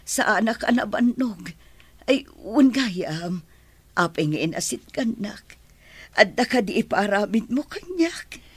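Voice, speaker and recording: An actress playing a meek, overworked housemaid who speaks softly and tearfully, with an Ilocano accent. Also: female voice, medium pitch, big pitch swings, takes it slowly, husky, no echo, heavily acted. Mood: tired. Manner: soft, tearful, submissive.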